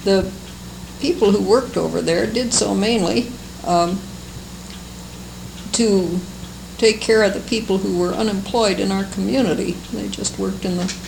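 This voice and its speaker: Elderly American woman, creaky voice, noisy recording. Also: mid-range voice. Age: older, seventies or above. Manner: unhurried, halting, fond recollection. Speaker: interviewee, longtime local resident. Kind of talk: oral history reminiscence. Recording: noisy amateur oral history recording.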